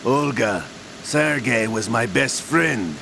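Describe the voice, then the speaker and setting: An actor playing a sly older Russian villain in a video game cutscene, gravelly, drawling and theatrically menacing. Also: male voice, medium pitch, animated, slow, noisy background. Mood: smooth, menacing. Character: sly older male Russian villain, coolly scheming gunslinger agent.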